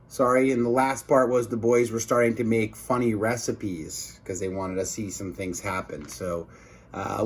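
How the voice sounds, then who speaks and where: A man reading a children's book aloud as a teacher, expressively emphasizing words for young listeners. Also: mid-range voice.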